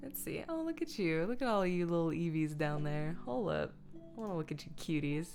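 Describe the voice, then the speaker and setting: A woman's voice: fairly low pitch, lively intonation, fairly quick, vocal fry, darkish tone. A woman chatting casually on a livestream as she works, playful and silly, with self-mocking asides and bits of muttering to herself. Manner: mutters and hums to herself while working. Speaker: streamer and host. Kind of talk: casual livestream chat while editing. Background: background guitar music.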